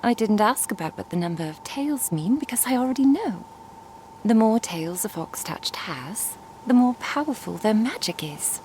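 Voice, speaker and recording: A woman playing a curious, composed traveling merchant and master in an ASMR roleplay, speaking in a measured, formal, inquisitive way. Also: on the high side, very animated, a little mellow, a little hiss or hum, poor audio. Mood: intrigued, gentle. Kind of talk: ASMR roleplay audio drama.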